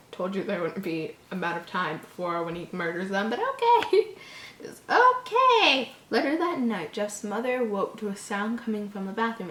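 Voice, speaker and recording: A woman's voice: mid-range voice, fairly bright tone. A woman reading a horror story informally, stumbling over words and breaking off into amused, incredulous asides. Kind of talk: informal story read-aloud with joking asides. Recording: roomy, echoing home recording.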